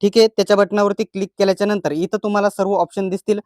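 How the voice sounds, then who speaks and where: A man's voice: sits high, little pitch movement, very fast, darkish tone, dry recording. Marathi man giving dry-sounding tutorial narration. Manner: instructional, repeats each step. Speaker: tech tutorial presenter. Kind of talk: step-by-step phone tutorial narration.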